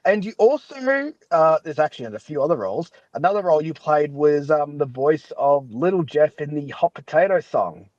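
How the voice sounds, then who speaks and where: Higher-voiced male interviewer, chatty. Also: sing-song, normal speed, few pauses, darkish tone, dull audio. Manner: chatty, rephrases and echoes guest's answers. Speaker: podcast host, interviewer. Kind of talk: casual interview chat.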